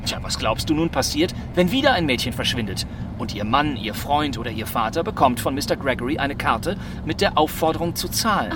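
A man acting a suave, self-assured gentleman detective in an old-fashioned radio crime play, with a measured, emphatic, theatrical delivery. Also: medium pitch, lively intonation, noisy background, natural voice. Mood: composed, emphatic.

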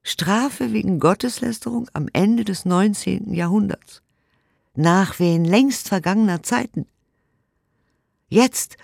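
A woman's voice: on the low side, vocal fry, a little mellow, no room sound, clean studio sound. An actor in a radio play voicing an earnest, indignant woman who reads 1890s letters and commentary emphatically and articulately. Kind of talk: dramatic radio play recitation of historical documents.

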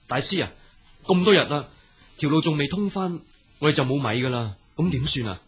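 An actor playing a worried, deferential junior monk with a Cantonese accent in an audio drama. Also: male voice, a little high, lively intonation, fairly quick, fairly bright tone, slightly rough, heavily acted. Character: worried junior monk, respectful to his master.

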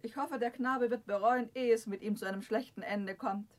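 A woman plays a stern household authority in a vintage radio play. Her voice is high, and she speaks in a clipped, cold, severe manner. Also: moderate pace, natural voice. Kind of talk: 1960s German radio drama acting.

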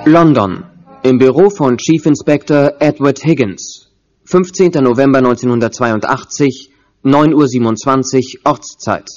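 A man of medium pitch announces scenes formally and tersely. His voice is slightly muffled, with music behind it. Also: unhurried, darkish tone. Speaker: announcer of scene place and time.

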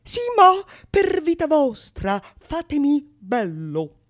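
Vain male fool in love, pompous theatrical delivery, high voice played by a woman. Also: big pitch swings, slow pace, full character voice. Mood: impatient, eager. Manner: pompous, self-satisfied. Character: vain, lovesick male fool boasting of his poetry. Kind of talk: theatrical radio monologue.

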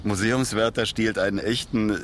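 A man's voice: on the low side, fairly even intonation, normal speed, fairly bright tone, slight background noise, lightly acted. A man acting a simple, good-natured working-class ex-convict in a radio crime comedy, speaking in a chummy, colloquial way. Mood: bantering. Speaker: radio drama actor.

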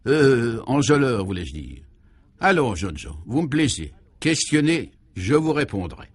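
A man's voice: medium pitch, big pitch swings, a little slow, husky, no echo. A man reads as a gracious former courtesan who now runs an institute. She is coy, amiable and quite sure of herself, in a radio serial farce. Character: gracious, coquettish retired courtesan turned headmistress.

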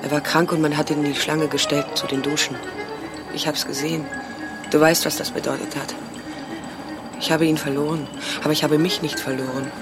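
A woman's voice: low voice, level tone, brisk, lightly acted. An actress in a German radio play voicing a middle-aged survivor mother who pleads with her estranged daughter. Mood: grave, resolute. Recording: music behind the voice. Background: music.

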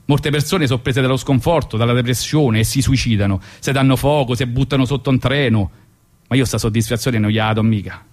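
A man's voice: mid-range voice, a little fast, darkish tone. Wry Roman storyteller in his forties. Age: 40s. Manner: colloquial, wry, mock-philosophical. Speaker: radio show host and storyteller. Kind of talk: ironic spoken storytelling, conversational.

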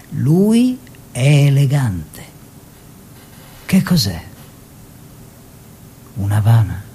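A man's voice: mid-range voice, wildly swooping pitch, drawn-out words, long pauses, warm tone, a little hiss or hum, full character voice. An actor playing a genial aristocratic painter husband in an Italian radio drama, telling stories theatrically, mimicking dialogue and drawing out his words. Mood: amused, savoring. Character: Nino, genial aristocratic bohemian painter husband, fond raconteur. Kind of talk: Italian stage-style radio drama, narrated recollection.

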